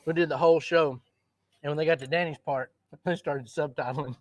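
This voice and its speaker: A man's voice: on the high side, steady tone, a little fast, dry recording. A man, explaining recipes in an easygoing cooking chat. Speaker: cooking show host. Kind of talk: casual cooking demonstration.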